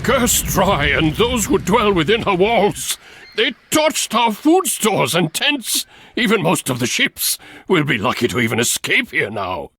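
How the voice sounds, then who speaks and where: A man's voice: sits high, sing-song, unhurried, breathy edge, full character voice. An actor playing a proud, lordly ancient warlord king in a game cutscene, declaiming his lines. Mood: bitter, alarmed. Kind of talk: dramatic game cutscene acting. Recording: loud music over the voice.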